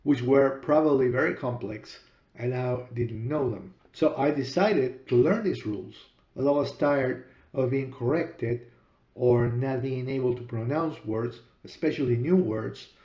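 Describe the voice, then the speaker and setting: A middle-aged man from Peru, a native Spanish speaker with a Spanish accent, hosting his own podcast and telling his story in a measured, deliberate way. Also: mid-range voice, dark tone, roomy sound. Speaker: podcast host and author. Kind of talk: storytelling monologue.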